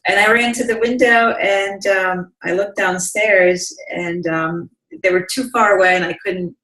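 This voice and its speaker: A woman in her thirties, a speaker telling her life story calmly as an interview guest, often restarting her sentences. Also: mid-range voice. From Bosnia. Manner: calm, measured storytelling with frequent restarts. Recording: distant, roomy sound.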